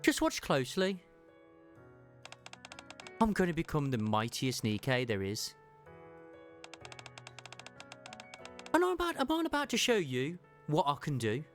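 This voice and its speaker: A woman's voice: low-pitched, huge pitch swings, moderate pace, pauses often. Woman doing a tomboy soldier girl, eager, voice swings up and down a lot, bit of music under it. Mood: determined. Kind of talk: fan-dubbed game story dialogue.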